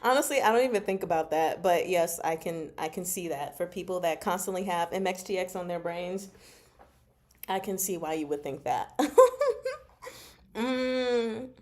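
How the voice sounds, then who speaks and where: A woman hosting a casual book discussion, speaking from notes in an animated, giggly, self-interrupting way. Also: fairly low pitch, normal speed. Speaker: host of a book discussion show. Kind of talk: casual fan discussion, reading from notes and comments.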